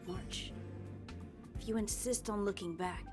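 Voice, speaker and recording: Actress as ominous game character, mid-pitched female, solemn storyteller delivery, music underneath. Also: fairly even intonation, a little slow, close to an everyday voice. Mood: grave. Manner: grave, storytelling. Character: ominous, solemn woman telling a tragic legend. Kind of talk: video game voice acting.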